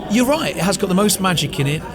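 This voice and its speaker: A man's voice: high-pitched, very animated, quick pace, crowd noise. A man who performs comedy, chatting enthusiastically and earnestly in a casual interview.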